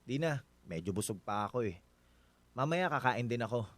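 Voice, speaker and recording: A man with a Filipino accent playing a gentle, considerate husband in a radio drama, talking in a reassuring, conversational way. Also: mid-range voice, expressive, normal speed, close to an everyday voice. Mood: tactful.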